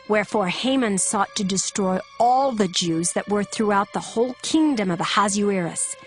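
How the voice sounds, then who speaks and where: Solemn woman narrating scripture, mid-pitched. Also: low-quality recording. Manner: solemn, measured storytelling. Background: background music.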